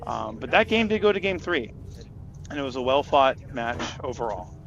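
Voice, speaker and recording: Loud, projecting male tournament commentator. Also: medium pitch, sing-song, moderate pace, creaky, muffled recording. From Southern California. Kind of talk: live casual commentary.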